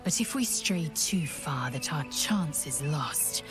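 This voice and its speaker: A woman's voice: sits low, lively intonation, slow pace, music in the background. An actress playing a fierce, proud githyanki warrior woman who speaks curtly and threatens openly. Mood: stern. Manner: curt, contemptuous, threatening.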